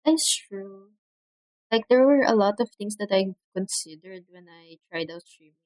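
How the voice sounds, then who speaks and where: A young woman from the Philippines chats casually on her livestream, speaking softly with frequent pauses and fillers. Her cheap mic gives a dry, close sound in a room at home. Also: mid-range voice, animated, no echo. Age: young adult. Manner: soft-spoken, hesitant, many fillers. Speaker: streamer. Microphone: cheap desk mic.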